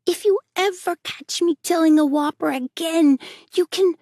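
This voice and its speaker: A woman's voice: extremely high pitch, normal speed, a little mellow, no echo, clean studio sound, voice pushed up. A woman voicing a mischievous small boy with a childlike, colloquial way of talking. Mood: sobbing pleas.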